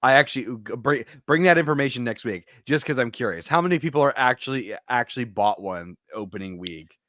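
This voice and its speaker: A young adult American man co-hosting a podcast in relaxed, casual conversation. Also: mid-range voice, brisk, no echo, studio quality. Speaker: podcast co-host. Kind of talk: casual chat.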